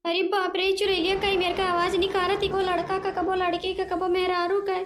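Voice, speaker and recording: A woman acts out an excitable, easily scared village housewife from a cartoon comedy. The pitch is extremely high. She frets in exclamations and keeps repeating herself. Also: brisk, noisy background. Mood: panicky. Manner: exclamatory, fretful, repetitive. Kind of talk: animated comedy cartoon voice acting in dialect.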